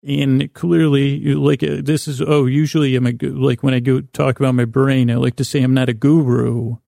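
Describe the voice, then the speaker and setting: A man hosting a bedtime podcast in a rambling, halting solo monologue, self-deprecating and whimsical, with a gravelly voice, close on the mic. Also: medium pitch, level tone, dark tone, no room sound. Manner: meandering, halting, repeats and loses his thread. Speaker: podcast host.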